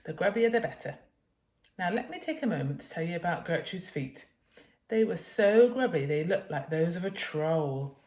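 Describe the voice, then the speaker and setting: Female British storyteller, teacher reading to children, slightly low voice, echoey room. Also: a little mellow.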